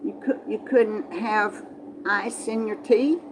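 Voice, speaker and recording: A woman's voice: medium pitch, steady tone, slow, noisy background. A woman with a Southern Texas accent, chatting casually to live viewers in a folksy, meandering way and often repeating herself. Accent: Texas Southern American. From Texas, southern United States. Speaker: livestream host.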